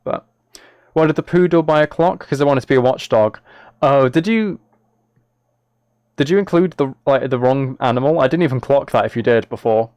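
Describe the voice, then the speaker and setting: A man's voice: mid-range voice, normal speed, darkish tone, clear, resonant tone, no room sound. A man live streaming, talking casually and a little hesitantly to his viewers as he reads chat and reacts.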